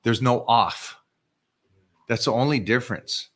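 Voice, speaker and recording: A man coaching viewers on a live stream, energetic and emphatic. He keeps tripping over repeated words when he gets excited. His voice sits in an ordinary middle register. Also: mid-range voice, measured pace. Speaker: fitness coach host.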